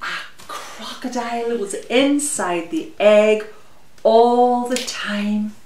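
A middle-aged woman hosts a story time for small children. She speaks very slowly and expressively, stretching out her words in a sing-song way. The recording is roomy and a little distant, with faint noise. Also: a little high, darkish tone. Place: glass-walled conservatory at home. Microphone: camera mic a little way off.

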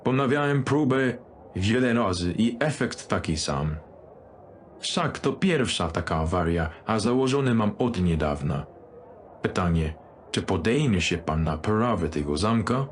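A man playing a demanding dignitary customer in a dark fantasy audio drama, speaking in a cool, curt and haughty manner. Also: on the low side, expressive, unhurried, slight background noise. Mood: curt, impatient.

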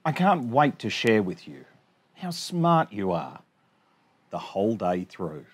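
A man in his forties hosting storytime, reading a picture book aloud to children in an expressive sing-song way with chatty asides. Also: on the low side, takes it slowly, husky. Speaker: storytime host. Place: small indoor space among bookshelves.

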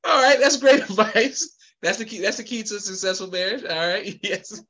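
An upbeat podcast host man chats over a video call with a high, bright voice. He laughs easily and keeps saying you can't go wrong. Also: normal speed, breathy edge.

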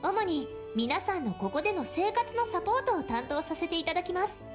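Bubbly polite young guide. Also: female voice, extremely high pitch, animated, very fast. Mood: welcoming. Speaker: station support staff in visual novel. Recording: game audio with faint music.

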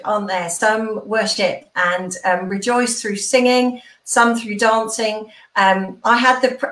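A woman's voice: medium pitch, fairly bright tone. A middle-aged woman, a church minister, leading online worship and prayer informally from a room at home. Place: small room at home, indoors. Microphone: computer mic on a video call. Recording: roomy home video-call sound.

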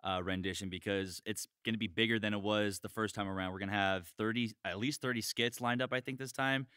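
Bright, crisp low-voiced man chatting. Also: fairly even intonation, a little fast, no echo, studio quality. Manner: emphatic, repeats words. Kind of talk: casual chat.

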